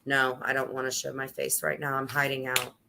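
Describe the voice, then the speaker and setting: A low-pitched woman on a live stream panel who speaks emphatically and defensively and keeps cutting in over the others. Her tone stays bright and forward. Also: completely flat delivery, fairly quick, gravelly. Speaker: panel guest.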